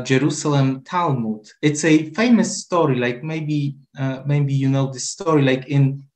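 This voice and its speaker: A man researcher answering on a panel, hesitant, with many ums, likes and repeated words. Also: mid-range voice. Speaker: researcher and librarian.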